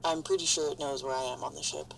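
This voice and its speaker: Woman playing stranded space crew member doing ship logs, low pitched, halting, frightened and swearing, audio drama, bit of hum behind her. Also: steady tone, vocal fry, poor audio. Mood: tense, weary. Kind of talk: audio drama monologue as ship's log.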